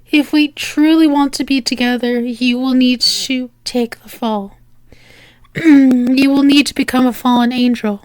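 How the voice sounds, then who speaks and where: High-voiced, slow-talking lovesick demon woman, acted. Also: clear, resonant tone, dry recording. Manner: earnest, halting, repeating herself in pleas. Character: lovesick female demon pleading with angel lover. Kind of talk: intimate ASMR roleplay acting.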